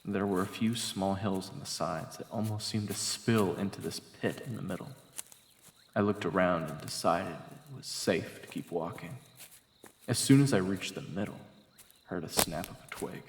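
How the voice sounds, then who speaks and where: A man's voice: low-pitched, moderate pace, slight background noise. A man giving dramatic first-person scripted narration for an audio story, as its narrator. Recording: echoey long reverb, music behind. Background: background music.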